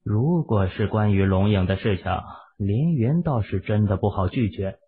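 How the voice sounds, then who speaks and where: Male audiobook narrator, husky, lively pitch swings, thin phone-like sound. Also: moderate pace. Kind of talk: expressive scripted novel narration. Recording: muffled, phone-like narrow sound.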